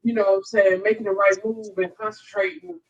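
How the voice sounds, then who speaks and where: A woman's voice: medium pitch, expressive, brisk, far from the mic, highs cut off. An American woman on a scripture study panel, talking conversationally and checking in often, picked up without a microphone of her own. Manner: conversational, frequent check-ins with listeners. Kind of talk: casual Bible discussion. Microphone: picked up from across by another's mic.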